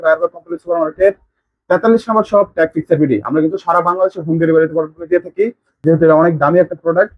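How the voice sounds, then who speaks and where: Male shop presenter, Bangladeshi accent, rattles through an informal product pitch at top speed, dry close sound. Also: no room sound, lo-fi sound. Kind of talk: informal sales demonstration.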